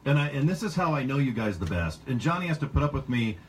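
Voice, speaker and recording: A man's voice: medium pitch, very animated, quick pace, slight background noise, rough recording. A man hosting a casual radio interview, talking in a rambling, chatty way with lots of fillers. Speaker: radio host.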